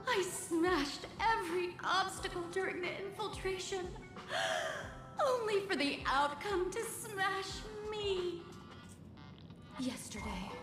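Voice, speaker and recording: A woman voicing a melodramatic, self-pitying young female performer in a video game, theatrical and mournful. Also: extremely high pitch. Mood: humiliated, mournful. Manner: theatrical, mournful, drawn-out delivery. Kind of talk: video game character voice acting. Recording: echoey, music behind.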